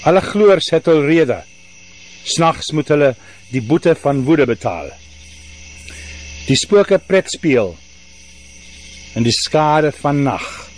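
A man's voice: fairly high pitch, takes it slowly, long pauses. Older man, noisy humming recording. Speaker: radio host and poet. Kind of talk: casual introduction and dramatic poetry recital. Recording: steady hum and background noise.

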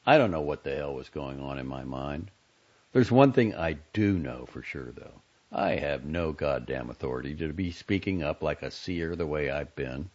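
A man reading aloud and voicing a blunt young man talking to his sister on the phone, gruff and earnest. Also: extremely low pitch, full character voice. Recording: dull lo-fi audio.

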